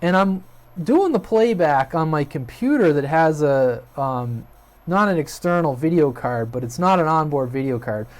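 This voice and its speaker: A man's voice: a little high, expressive, normal speed. A man hosting a hobby video channel, talking informally and off the cuff to viewers, rambling a little as he thinks aloud. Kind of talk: casual, unscripted channel update to viewers. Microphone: USB webcam at a desk.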